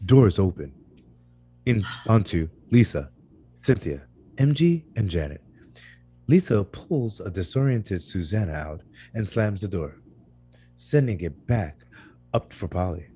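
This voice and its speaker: A man with a very low, husky voice reads screenplay stage directions at a table read. His tone is dark and mellow, yet his pitch moves around in a lively way. He now and then trips on a word and corrects it. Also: measured pace, pauses often. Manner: stumbles over words and corrects himself.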